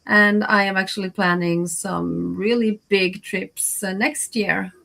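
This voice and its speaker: A Norwegian woman with a Norwegian accent answers interview questions over a video call. She speaks slowly and hesitantly, filling pauses with "uh," at a middle pitch. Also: steady tone, vocal fry, bright, forceful tone. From Norway. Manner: slow, hesitant, many "uh"s, laughs easily. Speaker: guest, freelance translator.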